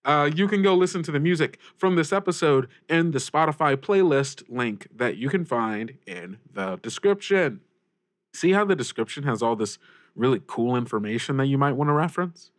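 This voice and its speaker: A man's voice: fairly high pitch, lively intonation, moderate pace, no room sound, clean studio sound. A man hosting a podcast, giving casual announcements to listeners in an upbeat, informal, rambling way. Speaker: podcast host and creator.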